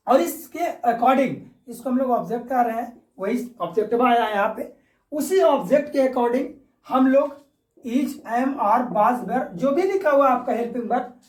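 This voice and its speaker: A woman with an Indian accent teaching grammar step by step like a classroom lesson, repeating each point and checking understanding. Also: fairly high pitch. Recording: echoey room, distant microphone.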